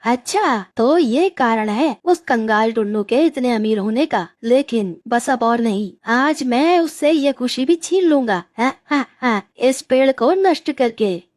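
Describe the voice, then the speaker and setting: Jealous, bullying crow brother for a cartoon fable, played by a woman: high-pitched, scolding, close dry recording. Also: expressive, measured pace, hardly any pauses, darkish tone, no echo. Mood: jealous, scheming. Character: mean, jealous male crow, elder brother. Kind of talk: Hindi moral-story cartoon voice acting.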